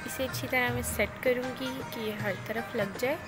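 A woman calmly explains each cooking step in a voice-over, speaking directly to viewers. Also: on the high side, normal speed. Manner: calm, instructive, addresses viewers directly. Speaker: cooking channel host. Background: loud background music.

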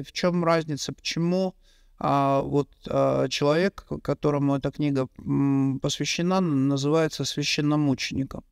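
A middle-aged man hosting an interview, asking his questions haltingly with many fillers, into a studio mic. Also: fairly high pitch, gravelly, smooth, no room sound. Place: bright indoor room, interview set. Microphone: studio mic on a boom.

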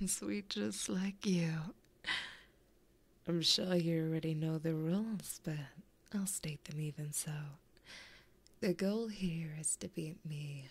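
A woman voicing a flirty, possessive, taunting female demon in an ASMR roleplay, breathy and often whispering in a teasing, intimate way, close to a binaural mic. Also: on the low side, slow, pauses often, exaggerated voice. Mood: flirty, calm. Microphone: close binaural microphone.

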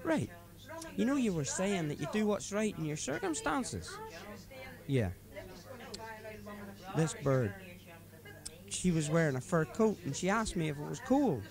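A man putting on a drunk young policeman, boastful and leering, his voice pitched well up and swooping about wildly. He cackles and shouts his way through the telling, with a crowd murmuring behind. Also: very animated, measured pace, gravelly, pauses often, exaggerated voice.

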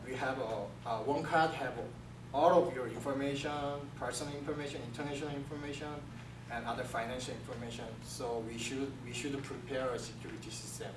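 A young man from South Korea with a Korean accent, giving a class presentation in halting second-language English with many restarts. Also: medium pitch, steady tone. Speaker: student presenter. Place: classroom with projector screen. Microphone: far camera. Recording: echoey room from far off, room hiss.

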